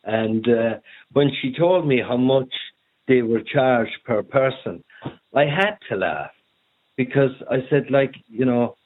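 A man's voice: medium pitch, slow pace. A man with an Irish accent calling in to a radio show and telling a story in an amused way. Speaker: caller, B&B owner. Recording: tinny phone line.

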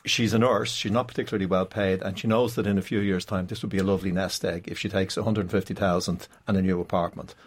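A man's voice: sits low, fairly even intonation, fast, few pauses. An Irish man speaking as a writer in a radio interview, explanatory and repeating points for emphasis. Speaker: playwright, interview guest.

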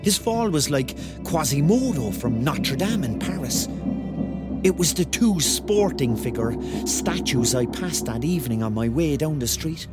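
A man's voice: a little high, expressive, measured pace. An actor playing a Cork man in his thirties, narrating a radio drama in a wry, colloquial way with a Cork Irish accent. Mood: tense storytelling. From Cork, Ireland. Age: 30s. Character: thirty-year-old Cork man, wry, dreamy storyteller. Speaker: narrator and lead character. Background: music.

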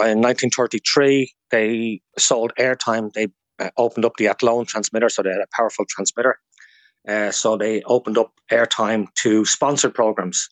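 A man's voice: fairly low pitch, dry recording. A man with an Irish accent talking as a guest historian in a radio interview, explaining things informally through anecdotes.

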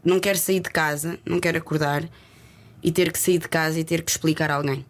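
A woman from Lisbon with a Lisbon Portuguese accent, speaking reflectively and haltingly as a guest in a candid interview, sometimes dropping in English words. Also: deep voice, steady tone.

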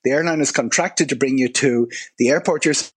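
An Irish man speaking as a travel expert in a live radio interview, halting and often restarting his phrases. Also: medium pitch, husky. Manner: halting, fragmented delivery with many false starts. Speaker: travel and aviation journalist, expert guest.

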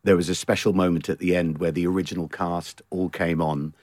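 A man, a singer guesting on a podcast, chatting in a quick, jokey way. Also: deep voice, fairly even intonation, darkish tone, dry recording, clean studio sound. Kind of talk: casual interview chat.

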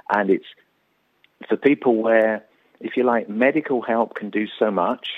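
A man's voice: low voice, no echo. A man, a guest explaining his work in a radio interview. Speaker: pain charity representative, interview guest. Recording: thin, band-limited phone-like line.

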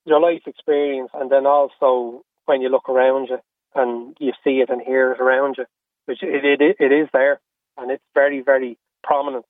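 A middle-aged Irish man talks candidly as an interview guest over a narrow phone-like line. His voice sits at an ordinary male pitch, and he speaks earnestly, often stopping and repeating himself. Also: flat delivery, normal speed, no room sound. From Australia and Ireland. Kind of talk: candid personal conversation. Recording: phone-like, narrow-band radio call.

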